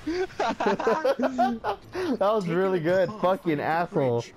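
A young man in an online game party, drawling very slowly while his pitch jumps around. He teases his friends and swears, with a crowd-like hum behind his headset audio. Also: sing-song. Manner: swearing, teasing, laughing a lot. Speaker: gamer in party chat. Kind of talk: casual gaming banter.

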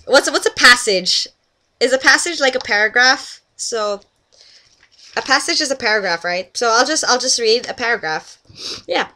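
A giggly young woman from Canada talks into a headset mic in a small bedroom, bright and a touch high in tone. She chats loosely through a word list, laughing and breaking off to tell friends to hush. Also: animated, normal speed. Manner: giggly, chatty, breaks off to scold friends on a call. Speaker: vlogger doing an accent meme. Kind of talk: casual webcam vlog. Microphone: headset microphone.

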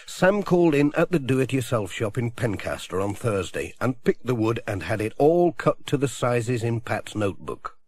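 A man's voice: medium pitch, sing-song, moderate pace, few pauses, a little mellow. A middle-aged man reading a children's storybook aloud as narrator. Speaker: audiobook narrator. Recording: dry close audiobook recording.

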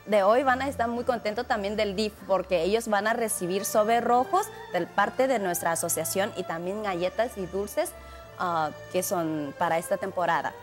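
A Chinese-born woman in her thirties answers questions in a TV studio, speaking a second language with careful, slightly halting phrasing. She speaks into a handheld mic at an average pitch. Music plays clearly behind her. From China. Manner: careful, slightly halting non-native speech. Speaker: community guest. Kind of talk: TV studio interview. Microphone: handheld mic held by the host.

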